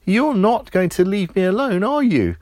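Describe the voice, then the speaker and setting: A man's voice: sing-song, moderate pace, darkish tone, no room sound, clean studio sound. A man voicing a frightened, whiny little boy who begs his parents for help. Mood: realising. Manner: high-pitched, pleading, frightened.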